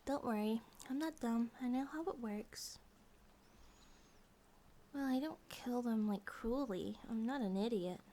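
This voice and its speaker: A woman acts out a grizzly bear monster-girl wife in an intimate ASMR roleplay. Her voice is fairly high and cutesy. She rambles softly to her partner, childlike, affectionate and a little possessive, at times slipping toward a whisper. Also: a little slow, pauses often, a little hiss or hum. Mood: defensive. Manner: cutesy, rambling, giggly, sometimes dropping to a whisper.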